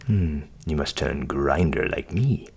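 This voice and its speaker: Man doing low, husky, wily tradesman. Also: animated, slow, heavily acted. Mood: coaxing. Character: blithe, wily scissor grinder, storybook tradesman.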